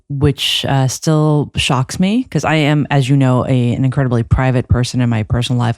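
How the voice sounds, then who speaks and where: Woman trial lawyer, podcast guest. Mellow, dry, close-miked. Reflective, stop-start delivery. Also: extremely low pitch, dry recording. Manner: reflective, many restarts and fillers. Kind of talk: conversational interview. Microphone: close microphone.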